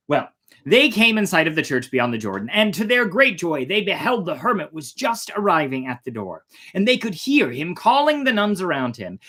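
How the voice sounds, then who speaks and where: A storyteller hosting a fairytale reading in an animated style with casual asides. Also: mid-range voice. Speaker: storyteller, host.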